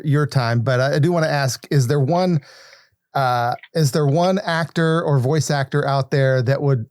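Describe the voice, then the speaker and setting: A man hosting a podcast, putting questions to a guest in casual, halting, enthusiastic chat. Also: medium pitch, a little mellow, no room sound, studio quality. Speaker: podcast host interviewing a guest.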